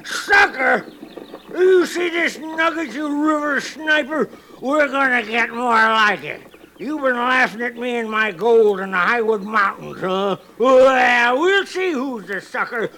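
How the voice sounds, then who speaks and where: An actor playing a grizzled, boisterous old American gold prospector in a 1950s radio western, cackling and talking in folksy frontier slang. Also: male voice, extremely high pitch, takes it slowly, pushed and bright, heavily acted, poor audio. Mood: drunken, belligerent.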